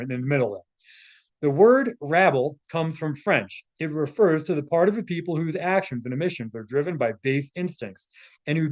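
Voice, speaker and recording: Higher-pitched man reading aloud. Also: darkish tone. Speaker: presenter reading translated articles aloud. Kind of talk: reading aloud with informal asides.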